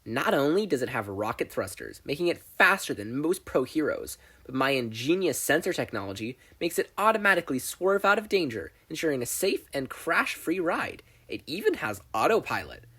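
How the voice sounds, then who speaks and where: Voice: medium pitch, very animated, normal speed, few pauses, sharp tone, breathy edge, pitched above the performer's own voice, heavily acted. A podfic reader voicing a brash, self-assured teenage girl inventor, boastful, blunt and emphatic. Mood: boastful, enthusiastic.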